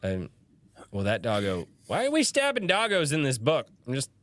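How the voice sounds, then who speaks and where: A man hosting a casual livestream podcast, animated and rambling, quick to laugh. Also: mid-range voice, no echo. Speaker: podcast host.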